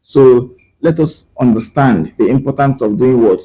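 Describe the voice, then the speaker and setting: Earnest Igbo-accented man, mellow voice. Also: mid-range voice, husky, low-quality recording. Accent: Nigerian, Igbo. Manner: code-switching between English and Igbo, earnest; rhetorical questions and pauses with fillers. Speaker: language teacher. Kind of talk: talking to camera about language.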